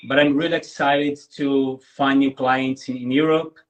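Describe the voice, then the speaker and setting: A man's voice: mid-range voice, level tone, normal speed, poor audio. A man whose first language is Portuguese, a guest in a live online interview, talking earnestly and often checking in with "right?". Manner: earnest, repeats words, tags lines with "right?". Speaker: guest content creator.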